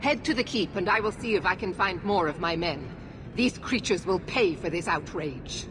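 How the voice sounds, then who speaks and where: A woman playing a stern, commanding knight officer in a video game, speaking briskly and with authority. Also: on the low side, animated, noisy background, lightly acted.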